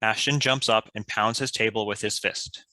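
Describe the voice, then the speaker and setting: A man's voice: on the low side, steady tone, normal speed, sharp tone, no echo, poor audio. A man reading stage directions as scripted narration for a table read.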